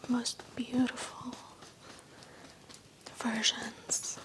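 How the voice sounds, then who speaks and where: A woman doing a soft-spoken ASMR relaxation roleplay in a gentle, soothing, breathy whisper. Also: a little high, completely flat delivery, poor audio. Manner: slow, gentle, soothing affirming delivery. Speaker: ASMR host.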